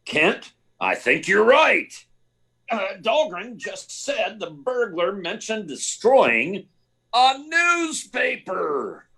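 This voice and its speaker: Man acting a flustered newspaper boss, wildly swinging pitch. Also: slow, heavily acted. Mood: agitated. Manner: stammering, excitable. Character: flustered, blustering male newspaper editor boss. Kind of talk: old-time radio drama acting. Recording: live remote performance, clear background hiss.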